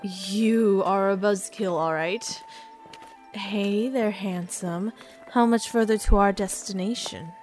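A woman's voice: mid-range voice, slow, a little mellow, faint music behind, lightly acted. A woman voicing a cheerful female companion who explains things in a teasing, upbeat way.

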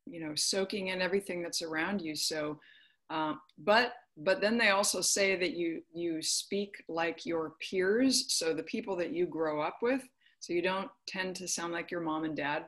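Low-voiced encouraging woman interviewer. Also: moderate pace, a bit bright. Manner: encouraging, laughs often, affirming. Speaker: interview host. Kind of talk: conversational interview.

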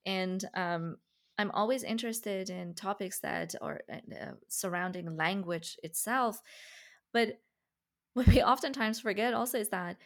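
A woman's voice: mid-range voice, unhurried, gravelly. A woman whose first language is Farsi, hosting a casual conversation and talking thoughtfully with light laughs. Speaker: podcast host.

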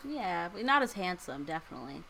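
A woman's voice: fairly low pitch, very animated, fairly quick, vocal fry, sharp tone, a little hiss or hum, poor audio. Early-20s woman on a podcast, dry teasing humor. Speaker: podcast co-host. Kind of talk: casual group chat.

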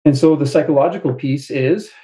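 A man talking as an interview guest, measured and thoughtful, with frequent fillers. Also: fairly high pitch, dark tone, rough recording. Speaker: guest author. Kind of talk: casual interview talk.

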